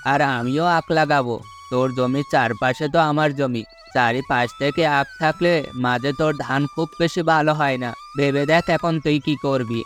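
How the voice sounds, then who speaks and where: A man's voice: fairly high pitch, close to an everyday voice. A man playing a sly, well-off village farmer in a cartoon folk tale, persuasive and condescending as he feigns kindness. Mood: coaxing. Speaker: cartoon voice actor. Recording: music under the voice.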